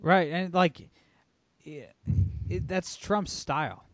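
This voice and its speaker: A man hosting a podcast, talking politics casually in a quick, emphatic way full of "like" and "you know". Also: sits high, a bit bright, dry recording. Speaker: podcast host. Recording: dull lo-fi, dry sound.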